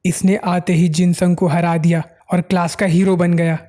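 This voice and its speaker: A jealous schoolboy sneers at a classmate and gossips about him, read plainly by a recap narrator. The voice is mellow and dark, close to the narrator's everyday voice. Only a faint mocking edge sets him apart. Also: medium pitch, flat delivery, normal speed, close to an everyday voice. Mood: sneering.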